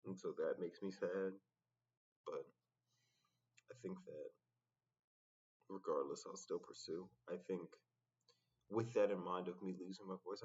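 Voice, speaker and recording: A man talking in a casual, reflective solo vlog, halting and often pausing mid-sentence. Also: low voice, darkish tone, husky. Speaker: rapper vlogging his progress. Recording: dull, muffled lo-fi sound.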